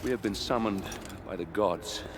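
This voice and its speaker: An actor playing a stern, battle-hardened Roman general in a video game, commanding and grave, speaking like an orator. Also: male voice, lowish voice, normal speed, natural voice. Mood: angry rebuke. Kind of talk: dramatic video game acting. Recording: battle noise behind the voice.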